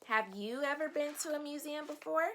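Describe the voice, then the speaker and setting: A woman teacher reading a picture book aloud to young children, expressive, explaining simply and asking questions. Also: high voice, normal speed, background noise.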